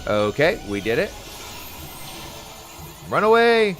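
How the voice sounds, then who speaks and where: An excitable, enthusiastic man giving casual, exclamatory live commentary as he plays an online role-playing game. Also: on the high side, takes it slowly, faint music behind. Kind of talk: live gameplay commentary, casual chat to viewers.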